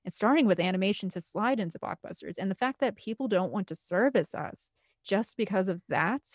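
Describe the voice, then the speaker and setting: A woman's voice: mid-range voice, expressive, brisk, dry recording. Woman chatting informally, close dry sound. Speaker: interview guest, film composer and director. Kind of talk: casual, conversational podcast interview.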